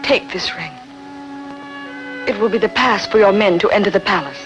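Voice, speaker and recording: A man's voice: sits high, moderate pace, music in the background, natural voice. A man voicing a vengeful, resolute young queen-to-be in a dubbed historical epic, with solemn, dramatic delivery. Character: vengeful ancient queen-to-be, woman, resolute conspirator.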